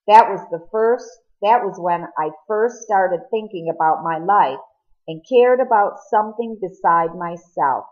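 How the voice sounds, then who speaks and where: A woman's voice: medium pitch, lively intonation, unhurried, darkish tone, natural voice. Earnest, remorseful teenage boy, read by a woman, muffled.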